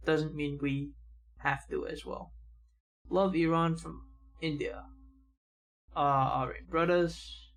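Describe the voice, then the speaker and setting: Gravelly young man reading comments. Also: on the high side, steady tone. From United States. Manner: casual, reads comments then adds quick remarks. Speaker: reaction channel host. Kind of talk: reading viewer comments aloud.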